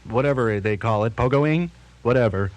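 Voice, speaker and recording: A man's voice: mid-range voice, normal speed, dry recording. A man hosting a morning radio show in easy 1980s banter, playing the straight man.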